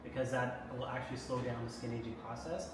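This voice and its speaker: Fast-talking doctor in an echoey room. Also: male voice, medium pitch, completely flat delivery. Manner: fast, explains with repeated "right?" tags. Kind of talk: casual explanatory chat. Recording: echoey room, far from mic, noisy.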